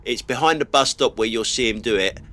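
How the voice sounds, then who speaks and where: A man's voice: mid-range voice, fairly quick, fairly bright tone. Middle-aged British male vlogger, bunged up with a cold, blunt grumbling driving commentary from the driver's seat. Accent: British English. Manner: blunt and grumbling, swears casually. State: stuffy nose from a cold. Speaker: dash cam vlogger. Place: inside his car while driving.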